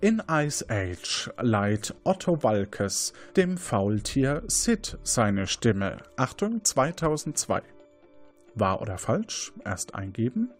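A man's voice: medium pitch, very animated, slow pace, faint music behind. A man hosting an audio quiz game, presenting statements and questions to contestants. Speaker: quiz show host.

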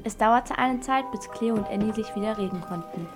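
A woman's voice: fairly high pitch, moderate pace, over music. A female narrator telling a scripted story in a children's radio play.

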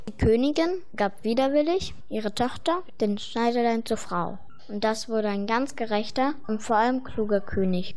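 A woman's voice: a little high, a little mellow. A woman narrating a scripted fairy tale as the storyteller of a children's radio play.

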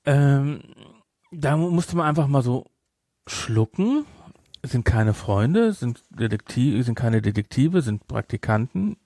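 A man's voice: medium pitch, animated, slow, dark tone, no room sound, studio quality. A man hosting a podcast, chatting casually about a film with sarcastic, incredulous asides. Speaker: podcast host. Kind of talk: casual film discussion chat.

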